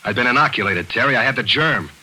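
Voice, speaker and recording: A man's voice: mid-range voice, expressive, fast talker, pushed and bright, breathy edge, full character voice, low-quality recording. An actor playing a cynical, hard-boiled American man in 1940s radio acting style, clipped and tough. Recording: old radio broadcast.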